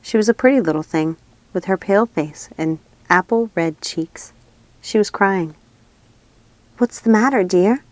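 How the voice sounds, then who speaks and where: A woman's voice: on the low side, moderate pace, a little mellow, dry recording. A woman reading a fairy tale aloud as scripted storybook narration. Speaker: audiobook reader.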